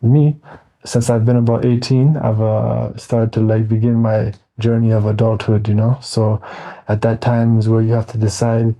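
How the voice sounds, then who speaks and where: An American man in his forties talking casually as an interview guest, easygoing and meandering, often pausing mid-thought. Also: fairly low pitch, little pitch movement, dark tone, rough recording. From Wisconsin, United States. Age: 40s.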